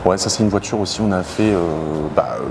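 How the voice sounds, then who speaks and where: Guy with a lowish voice explaining stuff casually, keeps hunting for words, clear noise around him in a garage. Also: expressive, fast. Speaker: garage owner showing customers' cars. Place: large workshop hangar, indoors.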